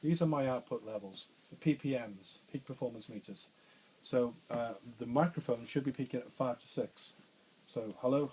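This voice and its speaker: A man with a bassy voice, chatting informally through a radio studio training session with frequent fillers and restarts. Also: mellow, low-quality recording. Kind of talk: hands-on technical demonstration.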